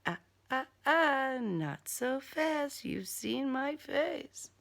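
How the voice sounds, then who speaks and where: A woman's voice: sits high, animated, extremely slow, gravelly, a bit bright, pitched above the performer's own voice, exaggerated voice. A woman voicing a smug male serial killer in an audiobook, with polite, condescending, formal diction and a taunting menace. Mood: unhurried, prying.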